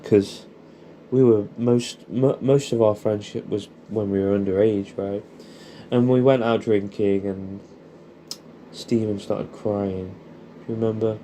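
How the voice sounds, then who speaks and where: A man's voice: on the low side, fairly even intonation, a little slow, mellow, slight background noise. A man with an English accent, rambling and sweary in a casual, matey, intimate monologue as he reminisces to an old friend. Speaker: audio storyteller addressing an old friend.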